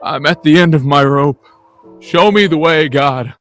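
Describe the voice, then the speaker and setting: Agitated husband at the end of his rope, male actor, emotional radio-play delivery, slightly high pitch. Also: unhurried, faint music behind, close to an everyday voice. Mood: despairing prayer. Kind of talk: old-time radio play acting.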